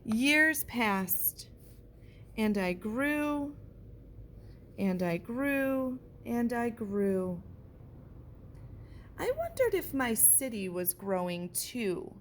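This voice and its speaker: A woman, a teacher, reading a picture book aloud and pausing to point out what the pictures show. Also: fairly high pitch, big pitch swings, a little hiss or hum. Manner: gentle, slow storybook delivery with pauses.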